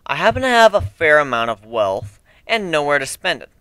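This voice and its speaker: A man's voice: a little high, big pitch swings, a little fast, fairly bright tone, no room sound, voice pushed up. A man voicing a brash, cocky griffin adventurer with a blunt, sardonic way of talking, in a fantasy story reading. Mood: negotiating.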